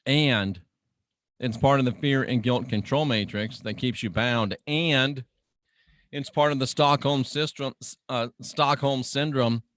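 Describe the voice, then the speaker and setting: Guy doing a radio sermon, slow n emphatic, mid pitch, big dramatic pauses, dry close sound. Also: a bit bright, no echo. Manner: emphatic, deliberate, repeats phrases with dramatic pauses; showman-like, rhetorical questions to listeners. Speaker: radio preacher and host.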